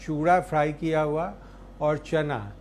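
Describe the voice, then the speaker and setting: Male interviewee, fairly high voice, halting spontaneous recollection, faint ambient noise behind. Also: fairly even intonation, a little mellow. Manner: halting, searching for words. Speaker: interviewee recounting a poet's life.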